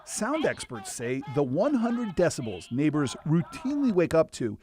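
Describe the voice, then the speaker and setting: Male American TV news reporter, higher-set voice, very expressive scripted delivery. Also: moderate pace. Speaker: investigative reporter.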